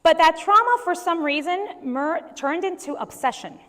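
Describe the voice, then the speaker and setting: A woman from Venezuela giving a conference keynote speech in a lively, animated way. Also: extremely high pitch, normal speed. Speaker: keynote speaker. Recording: long hall reverb.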